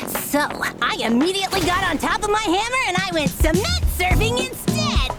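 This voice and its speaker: A woman voicing a small, boastful, excitable girl boss in an animated game trailer. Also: very high voice, moderate pace. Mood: boastful storytelling. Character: small, boastful, excitable girl who bosses a construction company. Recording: loud music under the voice.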